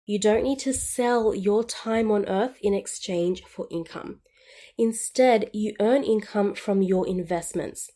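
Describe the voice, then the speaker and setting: Woman, twenties, podcast host, mellow tone, middle pitch, upbeat and friendly. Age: 20s. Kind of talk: scripted solo podcast on personal finance.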